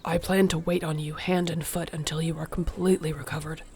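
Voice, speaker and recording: A woman playing a protective, devoted orc warrior girlfriend in an intimate audio roleplay, speaking softly, breathily and earnestly. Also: deep voice, level tone, a little fast, a little hiss or hum. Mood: caring, firm.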